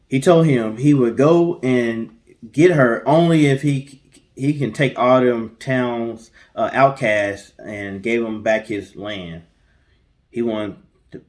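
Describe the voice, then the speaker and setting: A man's voice: lowish voice, unhurried, darkish tone. A man co-hosting a story show, telling a tale casually with chatty, colloquial, joking asides. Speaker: co-host of a story guessing show.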